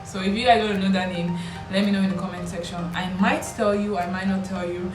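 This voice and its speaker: A woman's voice: medium pitch, fairly even intonation, quick pace. A woman in her twenties from Lagos with a Nigerian accent, chatting casually and playfully to camera as she answers questions. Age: 20s. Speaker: vlogger. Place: small indoor room with bare walls. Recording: roomy echo, distant mic, background music.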